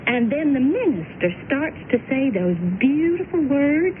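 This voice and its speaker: An actress playing a kindly, fussy, motherly woman in a radio drama, speaking in a gently directing, hesitant way. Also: high-pitched, sing-song, a little slow. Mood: fussing, kindly. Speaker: radio drama supporting character. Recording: tinny, noisy old radio recording.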